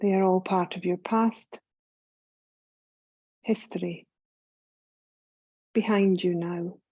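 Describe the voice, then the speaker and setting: A woman leads a guided relaxation in a soft-edged, mellow voice. She is calm and soothing, speaking very slowly and leaving long pauses between phrases. The recording sounds slightly dull and muffled. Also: on the low side, no echo. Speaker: yoga nidra guide. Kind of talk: guided meditation, scripted.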